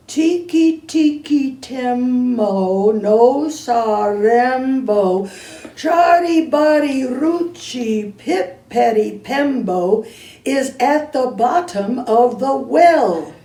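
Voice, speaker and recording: A woman voicing a frantic, breathless little boy who shouts high and fast while out of breath, heard from a distance in an echoey room. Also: heavily acted. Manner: high, loud, rushing, out of breath.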